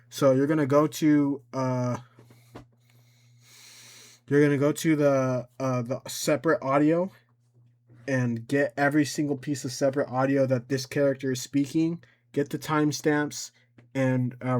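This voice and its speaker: A man with a medium-pitched voice hosts a casual software tutorial. He explains things step by step in an informal, unscripted way, often thinking aloud as he works. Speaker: tutorial host.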